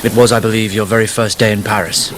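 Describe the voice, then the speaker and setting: Male actor as polite young gentleman, guarded, clipped, lowish pitch, radio drama, noisy rain behind. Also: little pitch movement, fast, lightly acted. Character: reserved, polite young gentleman, a duelling second.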